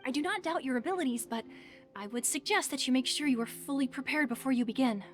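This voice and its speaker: An actress playing a polite, composed young tournament attendant in a video game, courteous and formal. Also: female voice, sits high, fast, soft background music, natural voice.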